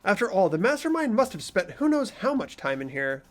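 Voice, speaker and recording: Guy doing a nervous earnest teen game hero, highish voice, really expressive narration. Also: fairly quick, faint music behind. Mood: puzzled. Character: earnest, anxious teenage boy narrating a mystery. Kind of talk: amateur voice acting of a video game.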